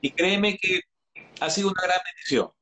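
A man in his thirties with a slightly high voice speaks earnestly as an interview guest. He gives advice in a calm, reflective way, often restarting his phrases. His voice comes through a webcam on a video call from his home. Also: moderate pace. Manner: earnest, advising, frequent restarts and hesitations. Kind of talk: earnest live-stream conversation.

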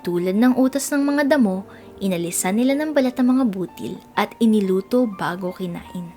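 A woman with a Filipino accent narrating a scripted children's storybook in an expressive read-aloud style. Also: medium pitch, moderate pace, soft background music.

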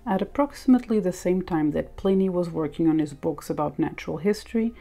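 A woman narrates an educational script in a fairly low, mellow voice with a lot of vocal fry. She sounds like a documentary presenter. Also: fairly quick. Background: music.

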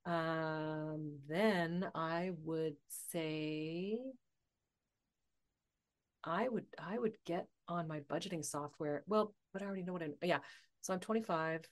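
A woman in her forties chats as a podcast guest, thinking out loud and laughing often. Her voice sits a little low and swings widely between hushed asides and bright bursts. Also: slow. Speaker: podcast guest, author and coach. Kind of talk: casual interview chat.

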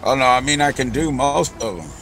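A man's voice: mid-range voice, lively intonation, fairly quick. A man with a Southern US accent, chatting casually on a game stream in a laid-back, playful way. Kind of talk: casual chat. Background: game music.

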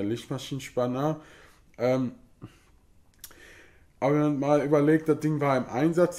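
A man's voice: medium pitch, darkish tone. A man in his thirties with a cold, from Germany, casually explaining car parts in a home garage vlog with lots of filler sounds. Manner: talkative, frequent filler sounds, matter-of-fact. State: has a cold. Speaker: car hobbyist YouTuber. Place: home garage workshop, indoors. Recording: echoey garage room.

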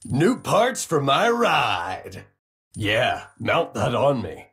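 An actor playing a brash, cocky racing robot in a video game, with a gravelly, husky voice and a boisterous drawl. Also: male voice, medium pitch, wildly swooping pitch, very slow, a bit bright, exaggerated voice. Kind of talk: video game character voice lines.

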